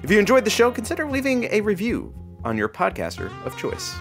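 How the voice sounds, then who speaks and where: A man's voice: sits high, wildly swooping pitch, fairly quick, soft background music, full character voice. A man playing a wry, mock-dramatic radio announcer and sidekick in a comic audio drama. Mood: breezy signing off.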